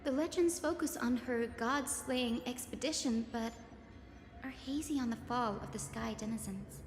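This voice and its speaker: A woman's voice: on the high side, normal speed, lightly acted. A woman voicing a learned, composed young priestess in a fantasy video game, formal and articulate. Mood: pensive. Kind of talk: scripted video game voice acting. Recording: echoey reverberant hall, music behind.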